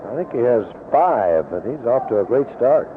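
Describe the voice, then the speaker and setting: A man's voice: mid-range voice, big pitch swings, moderate pace. A man chatting casually as a baseball broadcast partner in the booth. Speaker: broadcast partner of the play-by-play announcer. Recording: tinny, noisy vintage AM radio broadcast.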